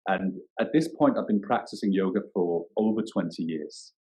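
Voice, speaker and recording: A man, a yoga teacher talking thoughtfully in a podcast interview, often pausing and restarting as he searches for words. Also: low-pitched, warm tone, poor audio. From Denmark. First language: Danish.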